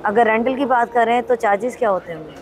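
A woman's voice: a little high, a little fast. A woman in her thirties hosting a vlog, chatty and promotional, asking short questions in a busy exhibition hall. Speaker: vlogger and interviewer. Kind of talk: vlog intro and interview. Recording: crowd noise in a busy hall. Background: crowd chatter.

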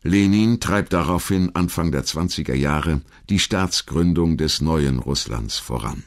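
Male narrator for a history documentary: low register, measured and articulate scripted storytelling.

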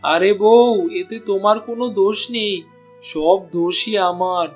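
A man's voice: high voice, measured pace, faint music behind. A man voicing an honest, struggling village meat seller in a cartoon. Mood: earnest.

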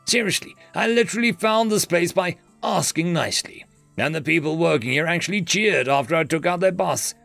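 A man's voice: on the high side, brisk, creaky, fairly bright tone, faint music behind, voice pushed up. A man voicing a cocky male bounty hunter who talks in a smug, joking, casual way.

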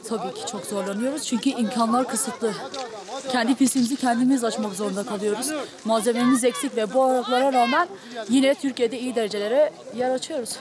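A man, a ski coach, proudly talking up his team to a reporter outdoors at a race in freezing cold. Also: very high voice. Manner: proud, brisk, talks up the team. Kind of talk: street interview. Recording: outdoor crowd chatter behind.

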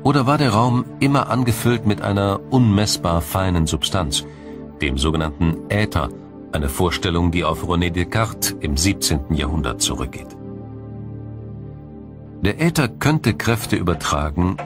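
A man narrating a scripted science documentary in a measured, formal, explanatory manner. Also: deep voice, animated, music in the background.

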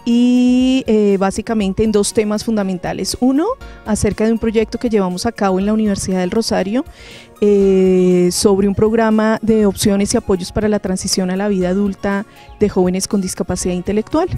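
A dark, relaxed woman's voice at a middle pitch, with a Colombian accent. She speaks as an interviewee into a handheld mic outdoors. Faint music plays underneath. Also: moderate pace. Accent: Colombian Spanish. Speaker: occupational therapist, interviewee. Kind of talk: interview statement to camera. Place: outdoors, in a garden courtyard. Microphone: handheld microphone at chest height.

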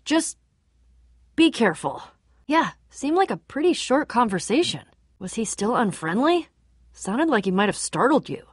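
Tough young adventurer girl from a video game, guarded n snarky, dry close recording, swingy pitch. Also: no room sound, full character voice. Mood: uneasy. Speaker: game voice actor. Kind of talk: video game character dialogue.

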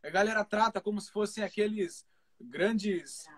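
Polite male radio host, high voice. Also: moderate pace. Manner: affirming, polite, explanatory. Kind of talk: live interview over a video stream.

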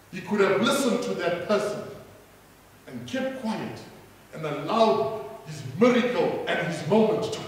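A middle-aged South African man preaching a sermon from a church stage, rising to loud calls and prompting the congregation to answer. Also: high-pitched. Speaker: pastor. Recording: echoey hall from far off.

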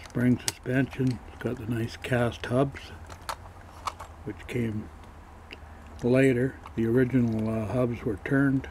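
A man showing his collector's finds in a casual, matter-of-fact way, pausing as he handles each piece, recorded on a camera mic. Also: medium pitch, level tone, a little mellow, a little hiss or hum, low-quality recording. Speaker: toy collector showing flea market finds. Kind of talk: casual show-and-tell narration.

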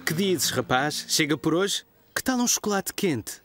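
Cartoon father, European Portuguese: easygoing, caring, animated intonation, some background ambience. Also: on the high side, measured pace, a little hiss or hum. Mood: kindly, hesitant.

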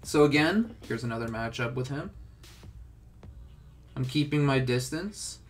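A man's voice: mid-range voice, animated, unhurried, faint music behind. A young man with a stuffed-up, congested voice, casually talking through a game tutorial with lots of filler words. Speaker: gaming tutorial host.

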